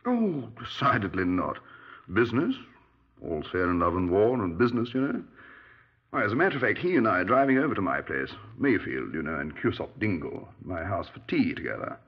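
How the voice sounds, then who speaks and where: An actor playing a genial, breezy middle-aged British retired major in a 1950s radio drama, clipped and dismissive. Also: male voice, fairly low pitch, very animated, brisk, breathy edge, exaggerated voice. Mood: affable. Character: genial, dapper retired army major, breezy small-town murder suspect. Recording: muffled 1950s radio recording.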